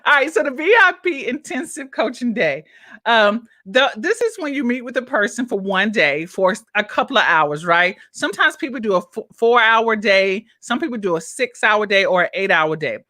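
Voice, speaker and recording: A woman's voice: on the high side, sing-song, normal speed, sharp tone, dry recording, clean studio sound. A woman coach giving a casual live-streamed talk, chatting straight to her viewers and reading out their comments as she goes. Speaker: business coach. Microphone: own microphone, checked on her phone.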